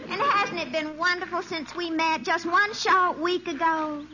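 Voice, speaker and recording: A woman's voice: very high-pitched, steady tone, unhurried. A woman playing a gushing, affected, lovestruck actress fiancée in an old radio sitcom. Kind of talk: 1950s radio sitcom acting. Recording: muffled, very noisy old radio recording.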